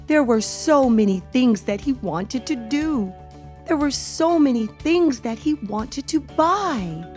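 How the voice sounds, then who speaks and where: A woman hosting a children's read-aloud, narrating in an expressive, deliberate way as she addresses young listeners. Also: sits high, faint music behind. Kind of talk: expressive children's storybook reading.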